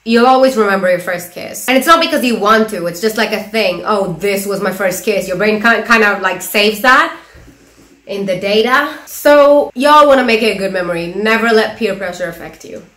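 A woman's voice: medium pitch, normal speed, a bit bright. Teasing young woman in an echoey room. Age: young adult. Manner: teasing, giggly, sarcastic asides. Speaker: reaction vlogger. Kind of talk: casual reaction commentary. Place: small room at home, indoors.

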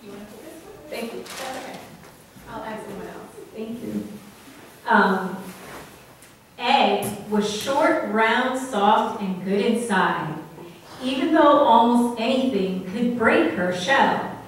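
Young woman reading a kids story at church, slow and gentle, bit high voice, faint room hum. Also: animated. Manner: slow, gentle, asks the children questions. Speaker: children's story leader at church. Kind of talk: storytelling to children with questions. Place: church sanctuary, at the front. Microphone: far room camera. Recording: very echoey church from far off.

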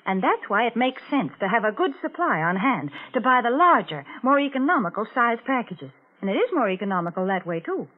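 Lively old-time radio pitchwoman. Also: fairly quick, hardly any pauses. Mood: eager, persuasive. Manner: emphatic, persuasive, polished. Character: chirpy, persuasive sponsor's pitchwoman on radio. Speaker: advertising spokeswoman. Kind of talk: 1940s radio commercial acting. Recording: muffled old radio recording.

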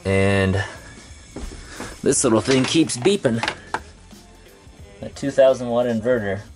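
A man's voice: mid-range voice, slow pace, over music. A man in his thirties chatting casually on a road-trip vlog, upbeat and thinking aloud with lots of fillers, filmed on a camera held at arm's length in a van and outdoors. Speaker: vlogger. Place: inside a van and outdoors at a roadside overlook. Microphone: handheld camera at arm's length.

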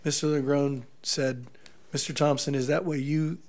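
A man's voice: fairly high pitch, level tone, moderate pace. A man clarifying procedure off the cuff as an official at a council meeting, over a noisy chamber microphone.

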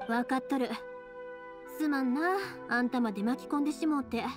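An actress playing a cheerful, talkative young fireworks maker in an anime game, speaking with a Kansai accent. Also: female voice, high voice, a little fast, music in the background, lightly acted. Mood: grateful, earnest. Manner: talkative, friendly, animated. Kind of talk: anime video game voice acting.

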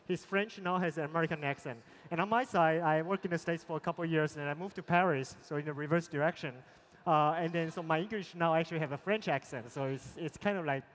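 A middle-aged man, an investor, moderating a fireside chat on a large conference stage in a relaxed way with many fillers, through a headset mic. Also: on the high side. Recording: long hall reverb, faint ambience.

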